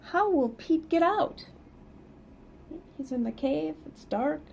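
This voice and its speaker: Casting: middle-aged woman storyteller, higher voice, very lively intonation, picture-book reading for young children. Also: slow, vocal fry, a little hiss or hum. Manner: animated, sing-song emphasis for young listeners. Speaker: read-aloud storyteller. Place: small plain indoor room.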